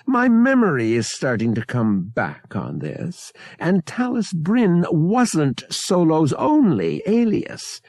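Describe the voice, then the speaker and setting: A man's voice: fairly high pitch, huge pitch swings, takes it slowly, hardly any pauses, a little mellow, dry recording, studio quality, pitched above the performer's own voice, full character voice. A man voicing an indignant, suspicious young brother who argues in an emphatic, exasperated way. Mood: accusing. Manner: emphatic, argumentative.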